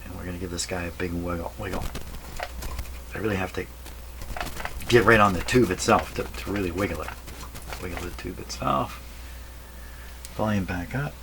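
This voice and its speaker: A man's voice: low-pitched, normal speed, vocal fry, long pauses. A man thinking aloud casually while testing electronics, tentative and often questioning himself. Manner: tentative, muttering, self-questioning. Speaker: radio repair vlogger. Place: radio repair workshop. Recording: loud electrical hum and crackling noise. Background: humming, noisy old radio being tested.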